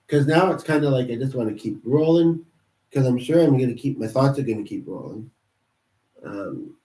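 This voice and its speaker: A man who is sick and congested, chatting alone on a livestream in a halting way, full of pauses and restarts. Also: mid-range voice, mellow, far from the mic. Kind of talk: rambling solo livestream chat. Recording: roomy sound from off-mic.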